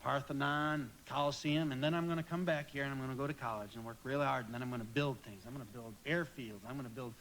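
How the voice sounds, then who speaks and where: A man's voice: mid-range voice, steady tone, quick pace, few pauses, fairly bright tone, lightly acted, low-quality recording. An actor playing an earnest, folksy young small-town man in a live radio play, stammering and rambling as he goes. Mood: dreamy, eager. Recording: live stage recording with background noise.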